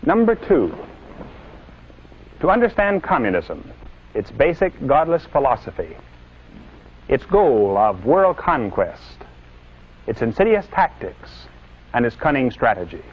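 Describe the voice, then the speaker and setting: A man's voice: medium pitch, big pitch swings, a little slow, long pauses, breathy edge. An American man delivering an earnest, didactic scripted lecture in the manner of a 1950s propaganda film, with emphatic oratory. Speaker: presenter lecturing on citizenship. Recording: dull lo-fi 1950s film soundtrack.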